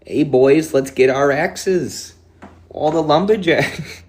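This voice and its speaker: A man's voice: fairly high pitch, slow, a little mellow, voice pushed up. A man voicing a hearty Canadian lumberjack rallying his crew, in an exaggerated Upper Midwest accent with a folksy sing-song lilt. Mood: jokey rallying.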